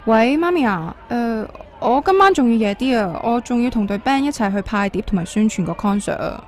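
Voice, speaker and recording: An actress playing a defiant, idealistic young band member in a radio drama, with a Hong Kong accent. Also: female voice, fairly high pitch, animated, a little fast, lightly acted. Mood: defensive.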